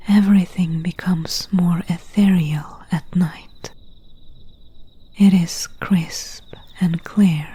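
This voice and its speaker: A woman playing a gentle, solemn friend in an ASMR roleplay, speaking in a breathy, hushed whisper with deliberate pauses. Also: on the low side, no room sound, full character voice. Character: gentle, solemn friend warning of night spirits.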